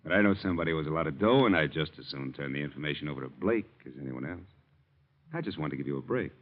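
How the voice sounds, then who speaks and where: A man's voice: rumbling low, expressive, rapid-fire. A man playing a smug, sly blackmailing chauffeur in an old-time radio drama, with an oily, mock-polite, insinuating manner. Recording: muffled tinny old radio recording.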